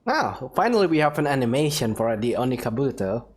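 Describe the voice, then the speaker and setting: A man's voice: medium pitch, big pitch swings, brisk, breathy edge. A man giving casual let's play commentary, with joking, teasing asides. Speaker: gaming video creator.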